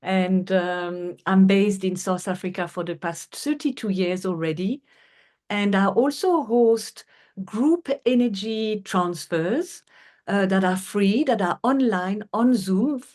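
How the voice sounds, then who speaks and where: A middle-aged woman from France, speaking English with a French accent as an interview guest, earnest and unhurried with frequent fillers. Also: medium pitch, a little mellow. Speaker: guest, healer. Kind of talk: conversational interview.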